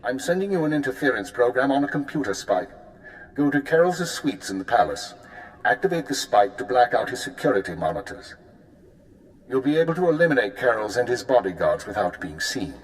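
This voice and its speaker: An actor playing a calm spymaster handler briefing an agent in a video game, with a measured, controlled delivery. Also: male voice, mid-range voice, lightly acted. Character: calm male spymaster handler giving orders. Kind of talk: video game cut-scene acting. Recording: roomy echo.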